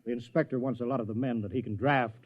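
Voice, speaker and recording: An actor playing a fussy, apologetic village mayor, flustered, polite and stammering, in old radio drama style. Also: male voice, medium pitch, fairly even intonation, fast talker, no room sound, close to an everyday voice. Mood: matter-of-fact. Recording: dull, dry old radio recording.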